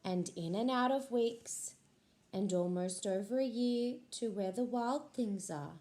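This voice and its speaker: A woman, a school librarian, reading a picture book aloud to children in a slow, expressive voice. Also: mid-range voice.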